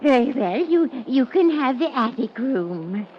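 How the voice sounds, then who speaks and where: A woman's voice: high voice, sing-song, unhurried. An actress playing a prim, easily flustered spinster landlady in a 1950s radio drama, speaking hesitantly. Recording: muffled, hissy 1950s radio recording.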